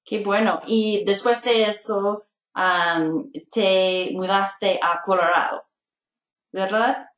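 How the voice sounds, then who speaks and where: A woman's voice: mid-range voice, fairly even intonation, slow pace, fairly bright tone, echoey room. A woman hosting a Spanish-practice podcast, speaking hesitantly with many fillers and laughing often. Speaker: podcast host. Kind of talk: slow learner-level Spanish podcast chat.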